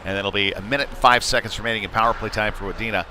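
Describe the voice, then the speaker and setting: A man doing live play-by-play hockey commentary in a halting, conversational way, with frequent uhs. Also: fairly low pitch, steady tone. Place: indoor ice rink. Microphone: wired broadcast microphone. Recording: clear rink noise behind the voice.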